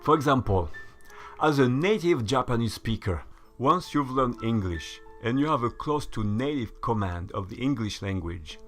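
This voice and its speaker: A man delivering a scripted voice-over essay in a measured, lecturing, mildly sardonic way. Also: medium pitch, animated, faint music behind. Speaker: channel host.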